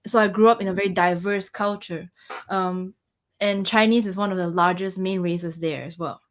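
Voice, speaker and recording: A woman's voice: mid-range voice, fairly even intonation, moderate pace. A woman from Malaysia speaking conversationally as a musician guest in an interview. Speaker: interview guest, pianist and composer.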